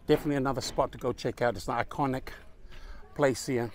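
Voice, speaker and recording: A man's voice: medium pitch, steady tone, fast talker. A man, chatting upbeat with strangers at an outdoor lookout, filming himself. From New Zealand. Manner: upbeat, chatty, laughs often. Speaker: travel vlogger. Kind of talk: casual chat with passers-by. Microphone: camera at arm's length.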